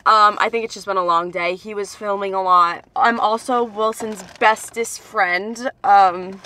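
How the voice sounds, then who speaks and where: A woman's voice: mid-range voice, fairly even intonation, a little slow, pushed and bright. A woman in her twenties chatting casually in a playful, offhand way as a guest in a car. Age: 20s. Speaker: guest on friend's vlog. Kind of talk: casual chat. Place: inside a parked car.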